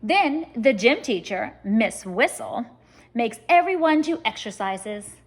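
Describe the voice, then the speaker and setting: A woman reading a children's story aloud like a teacher, expressive and speaking straight to young listeners. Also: fairly high pitch, slow pace. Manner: expressive, addressing children directly.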